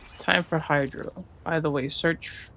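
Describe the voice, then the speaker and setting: A casual streamer with plenty of vocal fry and a slow pace. The commentary is offhand and half-muttered, as if talking to oneself. Game music plays faintly underneath. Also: mid-range voice, fairly even intonation, bright, forceful tone. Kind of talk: casual let's-play commentary. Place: indoor gaming setup, game music behind.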